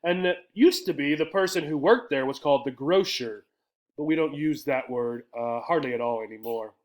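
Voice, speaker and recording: A man's voice: a little high, moderate pace. A man with an American accent explains vocabulary to English learners in a didactic way, repeating key words and often checking in as he goes. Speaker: English teacher.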